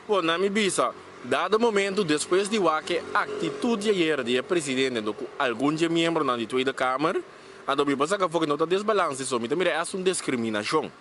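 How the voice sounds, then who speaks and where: A man's voice: a little high, brisk, fairly bright tone, slight background noise. A man giving an earnest statement, building his argument.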